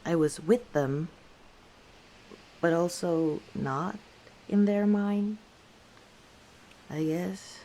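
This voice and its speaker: Woman voicing a slow, low-voiced weary orc woman. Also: slight background noise, rough recording. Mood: weary, hurt. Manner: unhurried, reflective, hesitant pauses, soft chuckles. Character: battle-scarred female orc tavern owner, weary, guarded, gently wry. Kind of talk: intimate ASMR roleplay storytelling.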